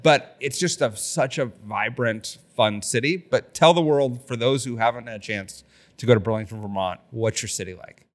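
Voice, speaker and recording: A man co-hosting a podcast, reading a scripted intro and then chatting in a friendly, relaxed way. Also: medium pitch, moderate pace. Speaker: podcast co-host.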